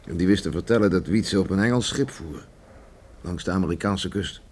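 An actor in a Dutch radio play, playing a stern, stubborn middle-aged farmer father troubled by remorse, brooding and halting. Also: low voice, a little mellow, natural voice. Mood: evasive, hesitant. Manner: brooding, halting, muttering to himself. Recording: faint background noise on radio recording.

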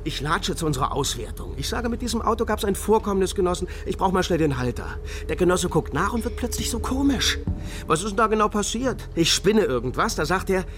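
A man's voice: sits high, sing-song, brisk, over music. An actor playing a coarse, agitated East policeman in a radio crime drama, exasperated and frightened. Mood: furious, alarmed.

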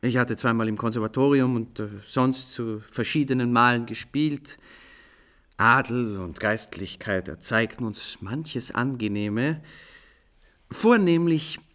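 A man's voice: mid-range voice, unhurried, fairly bright tone, natural voice. Radio play lead, male actor: cheerful composer raconteur, middle register, florid, self-mocking, courteous. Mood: playful, confessing. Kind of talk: German radio play acting.

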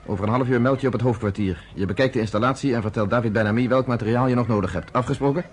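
An actor as a commanding, shrewd leader. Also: male voice, mid-range voice, racing, a little hiss or hum, close to an everyday voice. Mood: firm. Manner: firm, businesslike, commanding. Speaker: underground organizer. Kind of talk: radio drama acting.

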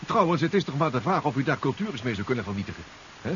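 An actor playing a hesitant, courteous professor who stammers politely and fussily in a 1970s Dutch sci-fi radio play. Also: male voice, mid-range voice, big pitch swings, fast talker, lightly acted. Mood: hesitant, regretful. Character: hesitant, courteous male professor piloting a submarine. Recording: dull, hissy 1970s radio recording.

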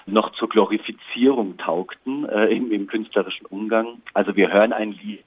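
Low-voiced man on a phone-like line. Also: steady tone, normal speed, breathy edge. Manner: considered, articulate explanations. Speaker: interviewed radio play author and composer. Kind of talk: reflective interview talk. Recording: muffled, narrow phone-like line.

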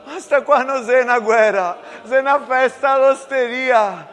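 A man's voice: very high voice, a little slow, long reverb tail. A man playing a boisterous, good-natured First World War soldier with a Venetian accent in a theatrical, exclamatory monologue. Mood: wild excitement. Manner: exuberant, exclamatory, loud.

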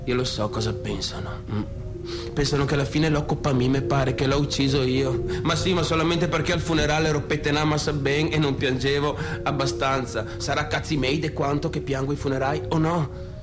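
An actor playing a brash, unsettled only son with a Venetian accent, talking colloquially and repetitively straight to the audience in a radio drama. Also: male voice, a little high, fairly even intonation, a little fast, music in the background, close to an everyday voice. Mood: defensive, agitated. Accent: Venetian, northeastern Italian. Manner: colloquial, repetitive, swinging between clowning and defensiveness. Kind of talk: theatrical radio-drama monologue addressed to the audience.

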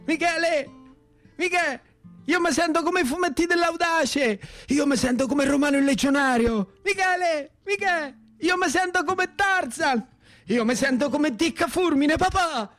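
Guy doing an eager insistent kid for radio theatre, high voice, begging and dreaming of being a hero. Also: measured pace, a bit bright, faint music behind. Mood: excited. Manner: insistent, repeating himself. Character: excitable pleading young boy with big heroic dreams. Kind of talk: Italian radio theatre monologue acting.

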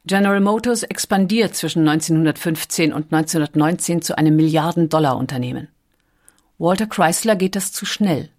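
Woman, lowish voice, calm even delivery, documentary voice-over. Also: fairly quick. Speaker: documentary narrator. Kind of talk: scripted documentary narration.

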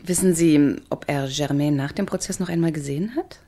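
A young woman author in a radio drama, her voice fairly low for a woman. She is courteous and inquisitive, gently coaxing and persistent as she probes for secrets. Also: fairly quick. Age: young adult. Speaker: author researching a trial. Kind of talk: radio play dialogue.

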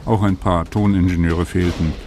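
A man with a low voice narrates a radio feature as its author. His delivery is reflective and conversational with a wry touch. There is clear noise and clicking behind him. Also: fairly even intonation, normal speed, darkish tone. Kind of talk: personal radio documentary narration.

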